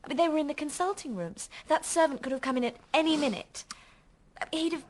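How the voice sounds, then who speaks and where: An actress in a radio drama playing a candid, earnest young woman who speaks a little haltingly. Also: high voice, lightly acted. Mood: embarrassed, earnest. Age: young adult.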